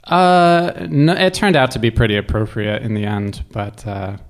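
A man's voice: mid-range voice, fairly even intonation, moderate pace. A man talking casually as a guest, telling personal stories in a wry, self-deprecating way. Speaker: guest storyteller.

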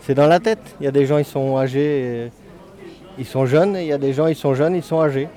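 Emphatic man with a dark, mellow voice. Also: medium pitch, fairly quick. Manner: emphatic, repeats his point. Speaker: passerby interviewed.

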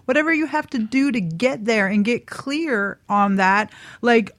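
A woman's voice: a little high, expressive, a little slow, fairly bright tone, no echo. Casual woman in a dry studio. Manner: informal, peppered with "like" and "man". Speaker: talk show panelist. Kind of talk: casual chat.

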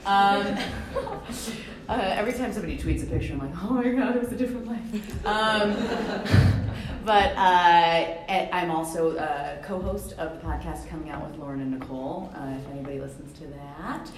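A woman's voice: lowish voice, moderate pace, a crowd in the background. A woman actress and writer speaking on a panel, chatty and self-deprecating with frequent laughs. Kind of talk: conference panel talk. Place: conference room. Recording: echoey room with background noise.

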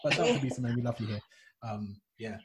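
A woman's voice: a bass voice, quick pace, background noise. Young woman, podcast host, casual slangy chat, digressive.

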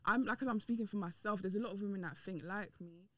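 A woman's voice: medium pitch, quick pace, a bit bright, no echo. A woman speaking as a podcast guest in a casual discussion, candid, emphatic and opinionated. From Dominican and Nigerian heritage.

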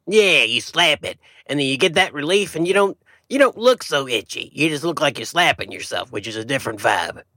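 A man voicing a touchy, silly possum who co-hosts a comedy podcast, with a gravelly muppet-like voice and folksy, indignant manner. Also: on the high side, expressive, fairly quick, bright, forceful tone, no echo. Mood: silly, cheerful. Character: touchy, silly male possum podcast sidekick, a wild animal. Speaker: podcast co-host. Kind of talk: comic banter.

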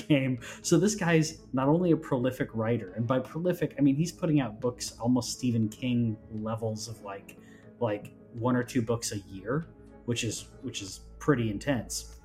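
A man's voice: medium pitch, a little mellow. A man talking casually as a podcast host, rambling and often restarting his phrases. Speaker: podcast host on a gaming news show. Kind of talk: casual conversational chat.

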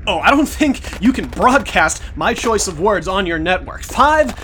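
An actor playing a gruff, exasperated male security chief in an audio drama. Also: sits high, sing-song, moderate pace, fairly bright tone, slight background noise. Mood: weary, blunt. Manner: exasperated, blunt.

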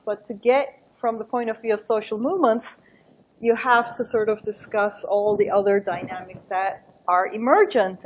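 A middle-aged woman, giving a book talk in a lively, thinking-aloud way with laughing asides. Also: mid-range voice, a little hiss or hum, low-quality recording. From Turkey. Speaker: invited book talk speaker. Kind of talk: academic talk with anecdotes.

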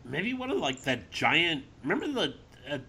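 A man's voice: on the high side, very animated, moderate pace, pushed and bright, slight background noise. A man co-hosting a podcast in casual banter, giggly and rambling with hesitations. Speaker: podcast co-host.

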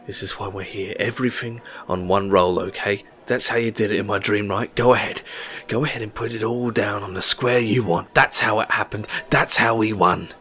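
A man voicing a desperate, volatile gambler father who pleads and then shouts at his young son. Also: fairly low pitch, steady tone, normal speed. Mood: urgent pleading.

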